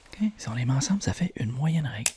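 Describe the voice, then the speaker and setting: A man with a Quebec French accent doing an ASMR roleplay as an attentive tailor, in a breathy whisper, repeating words and phrases soothingly. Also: mid-range voice, expressive, fast talker, background noise.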